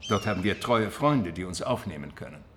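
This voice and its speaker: An actor playing a cultured, cautious Byzantine gentleman in a radio drama, measured and thoughtful. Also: low-pitched, expressive, slight background noise. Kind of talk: radio drama acting and narration.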